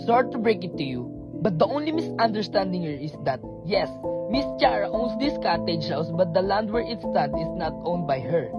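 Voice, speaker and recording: A woman's voice: medium pitch, close to an everyday voice. A young woman in a student radio drama, playing a formal, unyielding police officer. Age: young adult. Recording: muffled lo-fi, loud guitar music behind.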